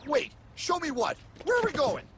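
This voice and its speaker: Excitable, high-pitched cartoon everyman. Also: normal speed, heavy background noise. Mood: whiny protest. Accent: American. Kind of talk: animated cartoon dialogue. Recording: music behind the voice.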